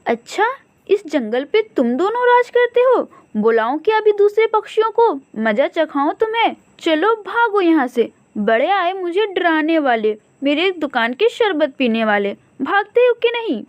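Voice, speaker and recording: A woman voicing a small, firm and sharp-tongued female bird shopkeeper in a cartoon, scolding and emphatic. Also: very high-pitched, sing-song, a little slow, no room sound, muffled recording, voice pushed up, exaggerated voice. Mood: angry, threatening.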